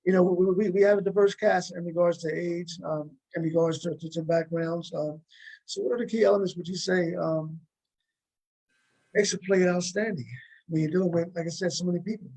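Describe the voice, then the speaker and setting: Male interviewer, fairly high voice, conversational, halting and rambling on the way to each question. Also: fairly even intonation, creaky, darkish tone, low-quality recording. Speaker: interviewer, fellow cast member.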